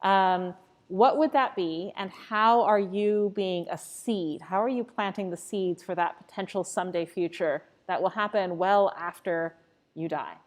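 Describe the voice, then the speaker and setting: A woman's voice: lowish voice, unhurried. A woman from Costa Rica answering questions in a live, unscripted church conversation, earnest and reflective. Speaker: church staff member.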